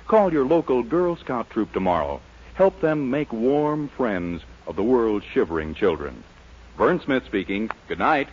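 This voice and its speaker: Old time american radio announcer guy, really lively pitch, narrow vintage sound with music behind. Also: unhurried, noisy background. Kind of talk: 1940s radio announcing, scripted.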